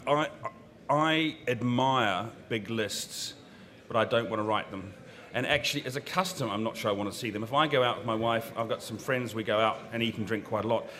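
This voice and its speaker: A man's voice: mid-range voice, fairly bright tone. A man with a New Zealand accent, speaking frankly as a wine consultant on a seminar panel, giving his opinions in a conversational way. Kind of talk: panel discussion. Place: seminar room.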